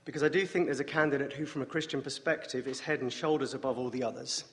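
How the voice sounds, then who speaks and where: An English man preaching as a guest speaker, with a wry, self-deprecating humor and a voice deeper than usual from a morning rasp. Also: fairly even intonation, quick pace, a bit bright. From England. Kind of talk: sermon with dry jokes. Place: church sanctuary. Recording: long church reverb.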